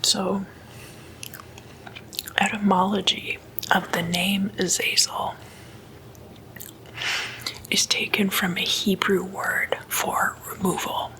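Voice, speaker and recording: Woman, whispered ASMR, very slow halting phrases, flat low-key intonation, informal lecturing. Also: rough recording. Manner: hushed, unhurried, short halting phrases. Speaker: ASMR host.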